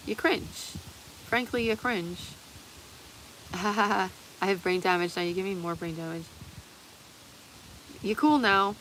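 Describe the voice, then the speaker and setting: A woman in her thirties rambling excitedly in a casual, confessional livestream style, giggly and sweary, her voice a little strained from long talking. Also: mid-range voice, slow pace, pauses often, a little hiss or hum, poor audio. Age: 30s.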